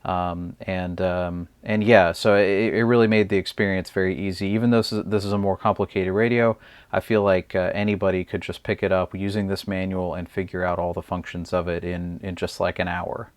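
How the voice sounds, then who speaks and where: Low-voiced man, halting casual review. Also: level tone, no echo. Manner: hesitant, many ums and uhs, thinks aloud. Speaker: product reviewer. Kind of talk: casual unscripted product demo.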